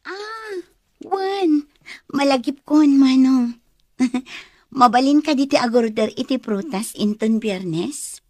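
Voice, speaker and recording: A young Ilocano schoolteacher in a radio drama, her voice very high and soft-toned. She is sweet and gentle, taking her words slowly. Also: expressive, dry recording, exaggerated voice. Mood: friendly, amused.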